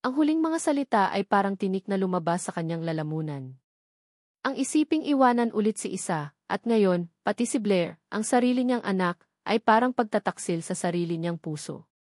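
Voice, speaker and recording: A woman's voice: medium pitch, lively intonation, normal speed, darkish tone, dry recording, studio quality. A woman with a Filipino accent giving a dramatic scripted narration of a romance story.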